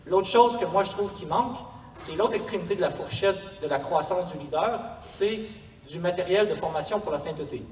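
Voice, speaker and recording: A man's voice: high-pitched, moderate pace. A man with a Quebec French accent giving an informal, explanatory workshop presentation in a conversational way. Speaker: parish pastoral coordinator, workshop speaker. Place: large echoey room before an audience. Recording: distant mic, echoey hall, faint noise.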